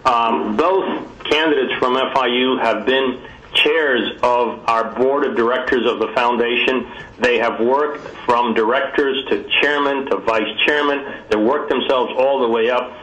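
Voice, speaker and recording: A man making his case in a telephone conference discussion, formal and deliberate, with halting repeats. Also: mid-range voice, a bit bright, slight background noise. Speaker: committee call participant. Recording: echoey telephone conference call.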